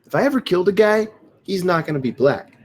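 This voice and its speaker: A man playing a flippant, casually clueless twenty-eight-year-old in an animated comedy table read. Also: high voice, quick pace. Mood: defensive.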